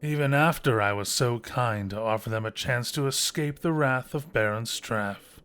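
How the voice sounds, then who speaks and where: A man's voice: mid-range voice, moderate pace, a bit bright, clean tone, close to an everyday voice. A man playing a smug, scheming adventurers' guildmaster in a fantasy audio drama, theatrical and taunting with mock courtesy.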